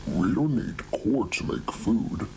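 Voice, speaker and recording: A man playing a placating monster king who gently soothes his worried wife in an amateur animated horror drama. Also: medium pitch, takes it slowly, creaky, a little hiss or hum. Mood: placating, gentle.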